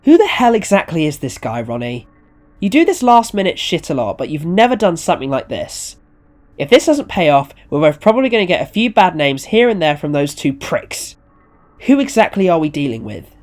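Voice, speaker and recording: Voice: mid-range voice, sing-song, brisk, a little mellow, faint music behind, pitched above the performer's own voice, lightly acted. A storyteller voicing an exasperated, panicky, foul-mouthed gallery owner in acted story dialogue. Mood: worried, demanding.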